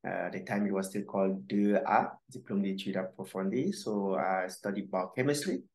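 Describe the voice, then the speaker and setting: Hesitant man from Cameroon, low voice. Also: gravelly, darkish tone, reverberant. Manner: halting, many fillers and restarts. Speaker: guest scientist. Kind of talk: casual interview answers.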